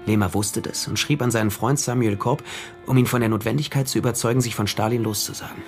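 An actor playing a dogged male private detective in a 1930s crime radio drama, explaining things in a deliberate, matter-of-fact way. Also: mid-range voice, steady tone, lightly acted. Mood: certain, explaining. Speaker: detective and first-person narrator. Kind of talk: German radio crime drama acting and narration. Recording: studio radio drama with music underneath.

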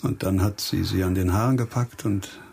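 An actor playing a grim, hard-bitten police investigator in a radio crime drama, speaking insistently and probingly, with music behind him. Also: male voice, sits low, fairly even intonation, moderate pace, gravelly, a little mellow.

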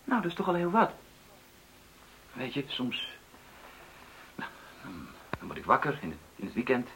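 Conciliatory husband, radio play, halting and expressive, clearly hissy old recording. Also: male voice, mid-range voice. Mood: tender, hesitant. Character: placating, hesitant husband, twenty years married.

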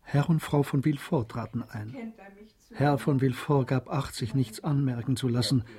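A man's voice: medium pitch, normal speed, warm tone, lightly acted. A man narrating a German radio drama as a composed literary storyteller. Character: composed storyteller of a period novel.

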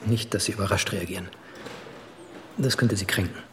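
Actor as low-voiced, sober hospital doctor. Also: male voice, fairly bright tone, slight background noise, natural voice. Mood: grave, factual. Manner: measured, matter-of-fact. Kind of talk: German radio drama acting.

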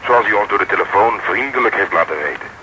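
Actor as sardonic cop with low voice. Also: male voice, fast talker, close to an everyday voice. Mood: dry, resigned. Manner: deadpan, sarcastic. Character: sardonic, world-weary male police detective. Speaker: radio play actor. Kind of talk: Dutch radio drama acting. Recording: noisy old radio play recording.

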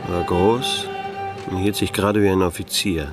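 A man playing a hard-boiled private eye in a radio drama. His voice is low and his delivery laconic, dryly ironic and world-weary, with music playing underneath. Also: fairly even intonation, normal speed, close to an everyday voice. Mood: wry narration. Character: disillusioned private detective narrating his case, dry and world-weary. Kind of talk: noir radio play narration and dialogue.

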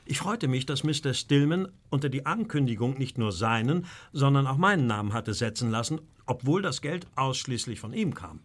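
An earnest gentleman of formal bearing played by a male actor, telling his story in a mid-pitched man's voice. He is deferential and anxious, in the measured style of a radio play. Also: expressive, natural voice. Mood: grave recounting. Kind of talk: German audio drama acting and narration.

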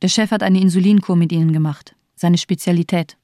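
A woman acts out a cynical female doctor in a radio crime play. Her voice is dark and fairly low, and she is cool, mocking and unmoved. The recording is very dry. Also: fairly even intonation, brisk, no echo, close to an everyday voice. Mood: cold, dismissive. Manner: cool, mocking, matter-of-fact. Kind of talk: German radio crime drama acting.

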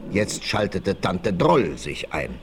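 A man's voice: low voice, very animated, slow pace. A man narrating a 1960s German radio play in a dramatic, scripted storytelling style. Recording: noisy old vinyl rip.

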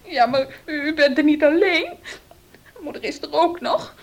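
An actress in a Dutch radio play playing a young unmarried daughter, meek yet defiant and troubled. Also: female voice, sits high, a little slow. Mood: tearful despair.

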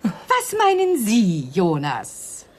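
An actress playing a fierce, vindictive woman in a radio drama, scornful and biting, with a rough-edged voice. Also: medium pitch, wildly swooping pitch, extremely slow, heavily acted. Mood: cold, vindictive. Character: fierce, vindictive, foul-mouthed woman contestant confronting a rival.

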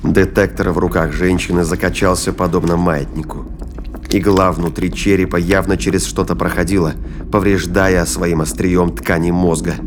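A man's voice: sits low, level tone, moderate pace, background noise. A man reading scripted dramatic narration as the narrator of an audio drama.

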